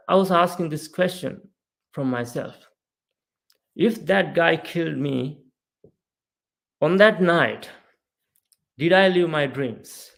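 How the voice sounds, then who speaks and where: A man's voice: mid-range voice, gravelly. A man from Sri Lanka in his thirties, a guest on a podcast, telling his story earnestly and often asking "right?". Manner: earnest, animated, frequent "right?" and "you know". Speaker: podcast guest, mindset coach. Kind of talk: casual storytelling interview.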